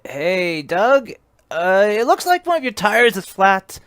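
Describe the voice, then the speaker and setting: A man's voice: high-pitched, big pitch swings, slow pace, a bit bright, smooth, dry recording, heavily acted. A man playing a polite, nervous teenage hitchhiker who hesitates and stammers in an audio drama. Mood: nervous, uneasy.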